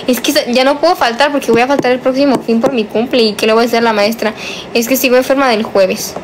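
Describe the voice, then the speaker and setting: A nineteen-year-old woman chats and teases on a livestream, her voice a little high and her words sometimes drawn out. She speaks into a phone held close in a small bedroom at night. A faint air-conditioner hum runs underneath. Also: steady tone. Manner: chatty, teasing, drawn-out words. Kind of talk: casual livestream chat, reading viewer comments. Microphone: phone held close, selfie framing.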